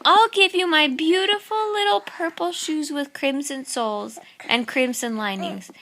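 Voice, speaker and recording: A small, scared storybook boy squeals his pleas up high and loud, put on by a woman reading to a child. The voice is bright and tense, with a gravelly catch at the edges. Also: a little slow. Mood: pleading. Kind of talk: storybook read-aloud.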